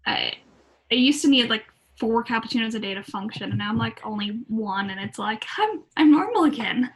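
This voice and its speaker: A woman's voice: fairly high pitch, expressive, fairly quick, poor audio. A woman in casual, informal chat, speaking from an apartment.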